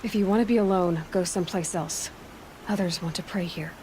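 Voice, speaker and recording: An actress playing a young, plain-spoken heroine in a video game, direct and sceptical yet compassionate. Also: female voice, lowish voice, fairly quick, darkish tone, slight background noise, lightly acted, rough recording. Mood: firm.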